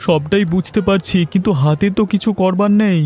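A man's voice: high-pitched, a little fast, mellow, soft background music, close to an everyday voice. A man voicing a worried, irritable village farmer and husband in a cartoon drama. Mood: downcast.

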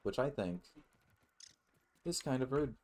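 A man giving casual gameplay commentary, musing aloud to himself in a halting way. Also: mid-range voice, animated, darkish tone, a little hiss or hum. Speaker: gaming video host.

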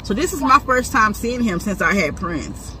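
A woman's voice: medium pitch, moderate pace, slight background noise, low-quality recording. A young woman vlogging on a phone camera from inside a car, teasing and chatting playfully. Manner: playful teasing, lively and expressive. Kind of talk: casual vlog chat. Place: inside a car, then outdoors. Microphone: handheld phone camera. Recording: car music playing loud behind. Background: loud music.

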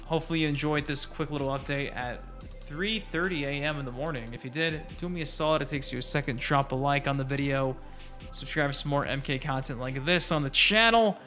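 A man's voice: on the high side, fairly quick, bright, forceful tone. A man hosting a gaming channel, giving informal, rambling commentary on a gameplay trailer and talking straight to viewers. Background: music.

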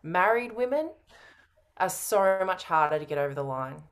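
A woman's voice: fairly low pitch, animated, normal speed. A middle-aged woman talking casually on a video call in a sales roleplay. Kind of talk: casual conversation. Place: indoor home room, on a video call.

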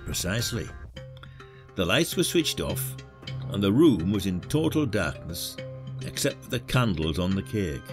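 A man's voice: low-pitched, very animated, a little slow, over music. A man acting as a wry, patronising retired detective in an audio drama, with a folksy, teasing way of talking. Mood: wry, instructive.

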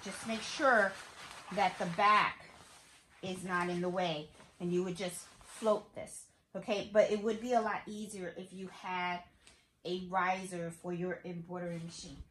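A woman's voice: on the low side, steady tone, unhurried, fairly bright tone, slight background noise. Chatty woman in a roomy sewing room. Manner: chatty, thinking aloud while working. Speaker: sewing channel host. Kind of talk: casual embroidery tutorial.

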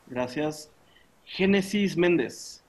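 A man hosting an online session from a small home room over a webcam, briskly calling on participants and thanking each one. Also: on the high side, expressive, low-quality recording. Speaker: session host. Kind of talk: video call roll call. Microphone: laptop webcam on video call.

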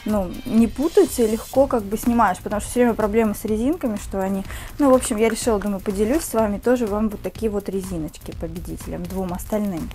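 A young woman with a slight cold, chatting casually to camera as a beauty vlogger in a small room on a lapel mic, with lots of fillers and soft diminutives. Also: mid-range voice, quick pace, soft background music.

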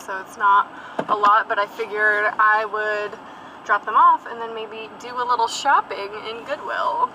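Young woman, chatty, rambling vlog talk, dashboard camera, car noise behind her. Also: medium pitch. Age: young adult. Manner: chatty and rambling, many fillers and asides. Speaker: vlogger. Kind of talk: casual vlog chat while driving. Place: inside a parked and moving car. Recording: car interior with road and engine noise.

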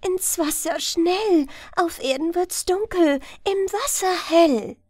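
A woman plays a dreamy fisher girl from a storybook. Her voice is high and ringing as she chants, entranced, drawing out her words. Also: slow, a little mellow, no room sound, studio quality. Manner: chanting verse in a clear, ringing tone. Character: fisherman's young daughter, dreamy, entranced singer of songs.

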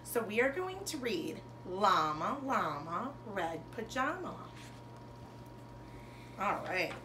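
A woman in her thirties, a teacher reading a children's storybook aloud to pupils with expressive, sing-song phrasing and emphasized words. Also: mid-range voice, slow pace, noisy background. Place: bedroom, indoors. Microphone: camera a couple of metres away.

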